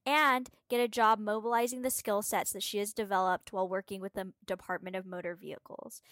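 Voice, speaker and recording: A woman's voice: mid-range voice, fairly bright tone, no echo, studio quality. A woman reading a prepared text aloud as a guest presenter.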